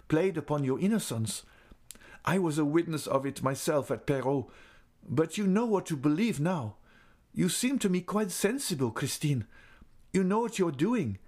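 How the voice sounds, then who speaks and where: A man's voice: a little high, a little mellow, pitched above the performer's own voice. Man doing an agitated, imploring young lover. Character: young man, wounded, sarcastic then desperate.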